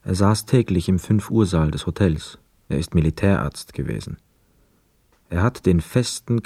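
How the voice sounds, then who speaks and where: An actor narrating a literary radio play as a sober, observant storyteller with a mellow voice. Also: male voice, dry recording. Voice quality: deep, mellow. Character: sober, observant male first-person narrator.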